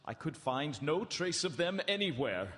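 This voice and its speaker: An actor playing an earnest, frightened captive in a live radio horror drama, with halting, theatrical delivery. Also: male voice, fairly high pitch, very animated, a bit bright. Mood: anxious dread. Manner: theatrical, halting, anxious repetition. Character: earnest, frightened Englishman held prisoner, narrating his journal. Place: large hall stage, live broadcast. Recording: live theatre hall, long reverb.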